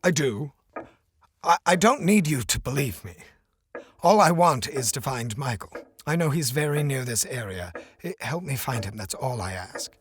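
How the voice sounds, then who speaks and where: An actor playing an earnest, obsessed scientist in an audio drama, speaking with intense, deliberate formality. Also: male voice, mid-range voice, big pitch swings, breathy edge, dry recording. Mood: urgent, pleading. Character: earnest, obsessed male scientist stranded in another dimension.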